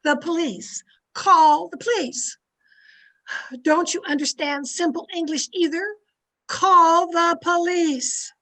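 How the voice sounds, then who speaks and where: Haughty, shrill, high-pitched domineering wife played by a woman. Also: fairly even intonation, slow pace, pushed and bright, full character voice. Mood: outraged, commanding. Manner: imperious, scolding, theatrical. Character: domineering, haughty society wife, easily outraged. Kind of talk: old-time radio drama acting. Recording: amateur video-call recording.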